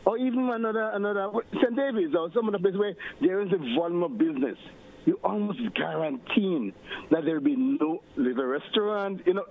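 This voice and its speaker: A man's voice: high voice, expressive, brisk, creaky, slight background noise. A man calling in to a radio show, arguing with rising, emphatic questions. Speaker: caller. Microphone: phone line. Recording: tinny phone line.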